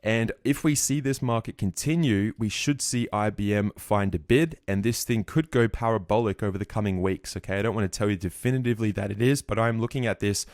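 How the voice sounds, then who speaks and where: A man giving a market recap over his trading charts, a bit croaky, walking through trades confidently. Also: lowish voice, a little mellow, no echo, studio quality. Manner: fast, confident chart walkthrough. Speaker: trading educator.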